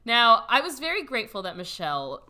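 Bubbly young woman, bright voice. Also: on the high side, very animated, fairly quick. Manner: bubbly, upbeat, says "like" a lot. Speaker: podcast co-host. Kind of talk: casual chat.

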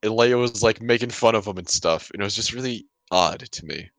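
A man's voice: fairly low pitch, fairly quick, a bit bright, dry recording. A man co-hosting a casual panel chat, giving opinions in a rambling way peppered with "like". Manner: rambling, heavy use of "like". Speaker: podcast co-host.

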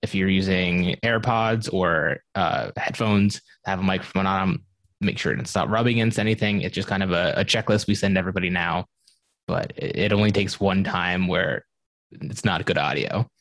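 A man on a podcast panel, explaining in a relaxed, practical way how he prepares guests. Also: deep voice, moderate pace, no room sound. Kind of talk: casual panel chat.

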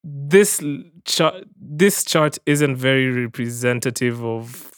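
A man's voice: medium pitch, steady tone, slow pace, clean tone, no room sound, studio quality. A young man co-hosting a podcast in casual chat, asking questions and steering the talk back on track. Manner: inquisitive, steers the talk, reads out chart details. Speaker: podcast co-host.

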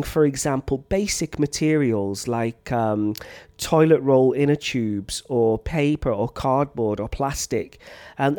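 A man talks teachers through classroom activities in a relaxed, unscripted way. He fills pauses with ums and restarts his phrases, and a slight cough lingers from a chest infection. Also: medium pitch. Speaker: science teacher. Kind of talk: teacher training talk.

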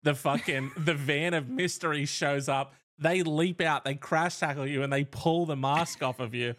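A man's voice: a little high, fairly even intonation, normal speed, a bit bright. A man co-hosting a comedy podcast, teasing his co-host in a sardonic way and swearing freely. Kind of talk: casual comedic chat.

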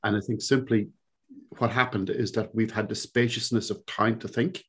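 A man's voice: on the low side, steady tone, moderate pace, fairly bright tone, slightly rough. A man around sixty with a Cork Irish accent, a podcast guest who talks in a reflective, rambling way full of fillers. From Ireland. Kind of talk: conversational interview.

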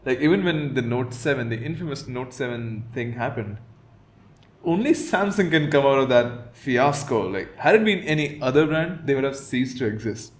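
A man's voice: medium pitch, normal speed. A man hosting a tech podcast who opens the show energetically and chats with a guest. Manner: upbeat, energetic intro. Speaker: podcast host.

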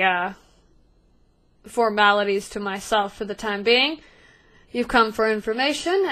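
A wry, clipped guard captain, a tall half-elf man with a bright, forceful edge, as a woman puts him on. He is unhurried and drily formal, leaning on each line at a slow pace, in a tabletop game. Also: medium pitch.

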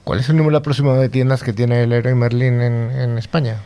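A man's voice: mid-range voice, level tone, fairly quick, mellow, smooth. A man hosting a radio interview, chatty and asking leading questions. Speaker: radio host.

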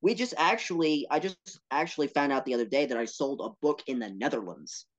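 A man talking as a podcast guest about his work, earnest and animated, with playful asides. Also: mid-range voice, fairly quick. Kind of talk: casual interview talk.

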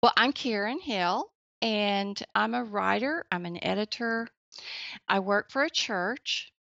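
Older woman with a girlish, gravelly voice. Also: medium pitch, takes it slowly, no room sound. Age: 70s. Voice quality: youthful, girlish-sounding voice. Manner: soft-spoken, laughs often, gentle. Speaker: podcast guest, writer and editor. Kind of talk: casual interview chat. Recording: dry, slightly dull lo-fi sound.

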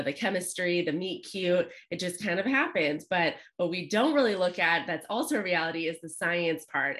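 Low-pitched woman from Los Angeles, chatty and upbeat guest coach. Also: fairly bright tone. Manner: chatty, upbeat, frequent fillers. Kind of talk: podcast interview.

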